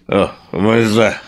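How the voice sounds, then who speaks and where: An actor playing a middle-aged, stubborn drunken father in a Filipino radio drama, with sluggish, slurred delivery. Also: fairly low pitch.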